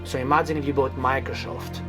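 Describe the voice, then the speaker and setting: A man from Greece co-hosts a travel vlog, talking eagerly with a few halting fillers. His voice sits at a middle pitch. Music plays clearly under the talk. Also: steady tone. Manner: enthusiastic, a bit halting with fillers. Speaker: vlog co-host. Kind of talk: casual chat to camera.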